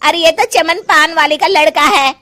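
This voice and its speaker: Woman voicing a gossipy older auntie friend in a cartoon, high loud voice, scornful. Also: moderate pace. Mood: mocking.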